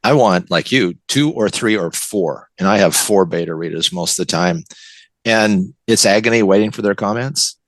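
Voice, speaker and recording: A man's voice: fairly low pitch, moderate pace, dry recording. An American man from the West Coast, an author chatting casually as an interview guest, telling anecdotes in a conversational way. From West Coast of the United States. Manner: conversational, anecdotal, checks in with "right?" and "you know".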